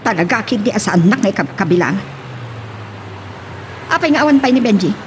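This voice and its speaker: An actress as a jealous, dramatically swooping wife. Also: female voice, medium pitch, big pitch swings, fairly quick, a little hiss or hum, natural voice. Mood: reproachful, jealous. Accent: Filipino. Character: jealous, nagging middle-aged rich wife. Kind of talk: Filipino radio drama acting.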